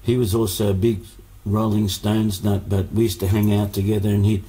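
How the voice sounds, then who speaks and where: A man's voice: fairly low pitch, flat delivery, normal speed, warm tone, poor audio. A man, born in Hungary, telling stories as a musician guest in a casual interview, rambling with many fillers and restarts.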